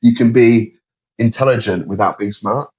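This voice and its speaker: A middle-aged man with a British accent explaining his views in a measured, conversational way as an interview guest. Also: mid-range voice, a little mellow, breathy edge. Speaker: interview guest, sales leader.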